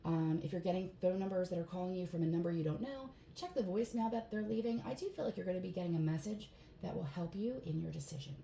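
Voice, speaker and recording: A woman with a hoarse voice giving a casual tarot card reading in a relaxed, chatty way, with plenty of fillers. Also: on the low side, quick pace. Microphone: phone. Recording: echoey room, faint background hiss.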